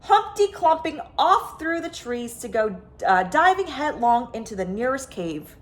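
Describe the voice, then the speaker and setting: A woman reading a children's picture book aloud, expressive and dramatic, stretching words for emphasis. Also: fairly high pitch, measured pace. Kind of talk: animated children's story reading.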